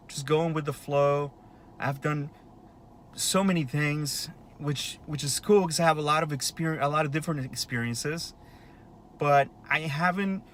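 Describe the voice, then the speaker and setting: A man's voice: on the high side, a bit bright, a little hiss or hum. A man in his late thirties talking in a casual, rambling monologue, with lots of fillers and restarts. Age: 30s. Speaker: vlogger.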